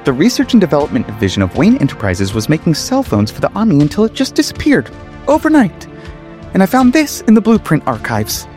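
A man's voice: lowish voice, lively intonation, fairly quick, faint music behind, lightly acted. A man voicing an ambitious, smirking corporate lawyer who insinuates things in a comic story reading. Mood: eager.

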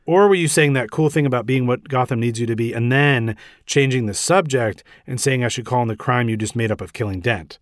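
A man's voice: medium pitch, a little fast, hardly any pauses, dry recording, clean studio sound, natural voice. A man voicing a flustered, earnest police commissioner, stammering and rambling anxiously in a comic story reading. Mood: confused, pleading. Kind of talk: comic audiobook-style reading.

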